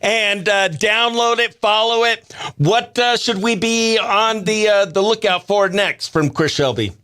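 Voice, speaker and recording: A male radio host with a high, bright voice. He talks in a casual interview style and sounds enthusiastic as he goes. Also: measured pace, smooth.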